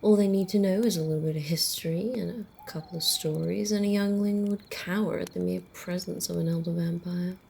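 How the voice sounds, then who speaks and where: A woman playing a centuries-old female vampire in an ASMR roleplay, wry, teasing and casually menacing, in a soft, breathy, often whispered voice. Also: on the low side, fairly even intonation, a little hiss or hum. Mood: darkly amused menace. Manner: unhurried, amused, conversational.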